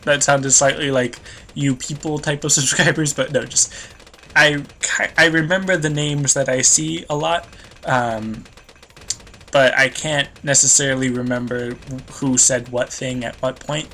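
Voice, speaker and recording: A man's voice: mid-range voice, steady tone, a little slow, bright, forceful tone, slight background noise. A young man narrating his video game as a let's play host, rambling and losing his train of thought, with a slightly stuffy nose. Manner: rambling, loses train of thought, self-deprecating. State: stuffy nose, voice a bit worn. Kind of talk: rambling gameplay commentary.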